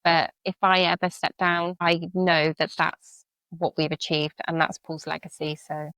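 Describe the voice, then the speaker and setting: A woman's voice: deep voice, little pitch movement, measured pace, fairly bright tone, dry recording, poor audio. A woman being interviewed on a podcast as a charity leader, speaking earnestly and reflectively with some filler words. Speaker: charity CEO and veteran, guest.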